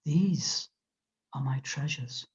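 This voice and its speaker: A meditation guide leading a guided visualization in a soft, often whispered voice, speaking gently with soothing repetitions. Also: medium pitch, breathy edge. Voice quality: soft, frequently whispered delivery. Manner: slow, gentle, drawn-out phrasing with soothing repetitions. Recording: dull lo-fi recording.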